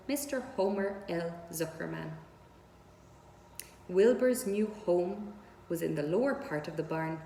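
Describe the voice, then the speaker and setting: A woman narrates a children's book in measured, deliberate phrases. Her voice is medium in pitch. It echoes as in a hall, with some faint noise behind it. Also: darkish tone. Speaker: read-aloud storyteller. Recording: roomy hall-like echo, audible background noise.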